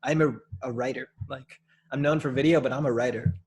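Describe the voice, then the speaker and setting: A young man from Minneapolis talking as a podcast guest, casual and animated, blunt, with slang. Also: medium pitch, brisk, noisy background. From Minneapolis, USA. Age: young adult. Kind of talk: casual conversation.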